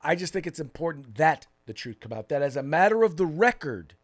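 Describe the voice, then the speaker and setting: A man's voice: fairly high pitch, sing-song, fairly quick. An American man co-hosting a political talk show, giving opinionated commentary in an emphatic way, repeating phrases for stress.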